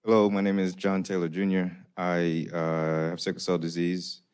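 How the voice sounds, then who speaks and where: Very deep, flat-voiced man in his thirties. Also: moderate pace, clear, resonant tone. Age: 30s. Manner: thoughtful, hesitant with many ums. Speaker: community advocate, panelist. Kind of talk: panel discussion.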